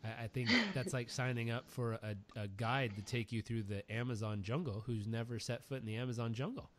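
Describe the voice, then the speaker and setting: A man's voice: mid-range voice, steady tone, brisk, very noisy. A man hosting a podcast, chatting casually and telling stories with enthusiasm, often repeating words as he starts a thought. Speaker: podcast host. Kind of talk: casual interview chat, storytelling.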